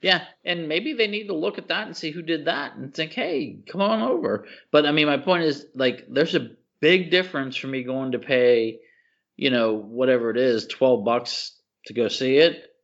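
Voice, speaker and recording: A man's voice: fairly high pitch, moderate pace, gravelly, a bit bright, muffled recording. A man co-hosting a casual podcast, giving opinions emphatically, with slang, repetition and rhetorical questions. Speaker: podcast co-host.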